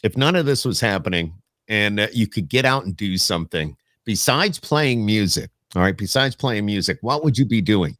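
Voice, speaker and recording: A man's voice: fairly low pitch, lively intonation, moderate pace, few pauses, fairly bright tone, dry recording, studio quality. A man hosting a casual remote interview, talking in an informal, rambling and self-correcting way. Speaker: podcast host, radio interviewer.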